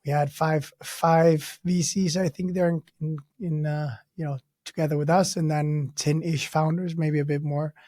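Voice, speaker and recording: A man hosting a podcast in a conversational way, his voice hoarse and raspy as if broken. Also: on the high side, level tone, moderate pace, few pauses, mellow, no echo, studio quality. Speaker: podcast host.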